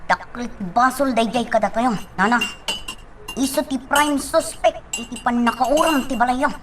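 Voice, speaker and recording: A woman's voice: fairly high pitch, normal speed, noisy background, close to an everyday voice. A woman playing an indignant, outspoken young villager in a radio drama, speaking with earnest emphasis. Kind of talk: Ilocano radio drama acting.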